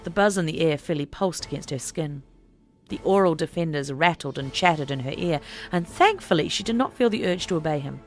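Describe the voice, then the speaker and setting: A woman's voice: low voice, animated, a little fast, soft background music. A woman narrating an audiobook story in a dramatic, scripted reading style.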